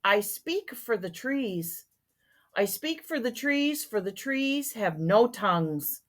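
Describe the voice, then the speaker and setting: A woman voicing a short, old, male forest creature from a storybook, sharp, bossy and indignant as he scolds. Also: on the high side, sing-song, slow, voice pushed up. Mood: indignant, pleading.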